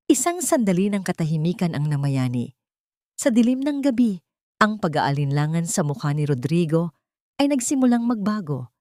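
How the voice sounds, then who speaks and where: A woman narrates a dramatic audio story. Her voice sits somewhat low and moves through wide, expressive pitch swings. The sound is close and dry, with no room around it. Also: no echo.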